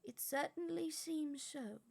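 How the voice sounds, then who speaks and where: A gentle, whimsical old wizard, as a woman reads him aloud draws out his words slowly and softly. His voice is light and a touch high, with an amused, unhurried lilt. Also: creaky, full character voice.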